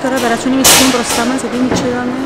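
A woman's voice: fairly high pitch, very noisy. A young woman with a Cypriot Greek accent, chatting casually as a vlog host while walking through a shopping mall. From Cyprus. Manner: lively, chatty, quick to laugh and correct herself. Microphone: handheld camera at arm's length.

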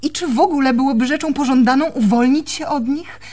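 Actress as high-voiced, troubled young woman in love. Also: brisk, a little mellow, natural voice. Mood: troubled, fascinated. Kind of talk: Polish radio play acting.

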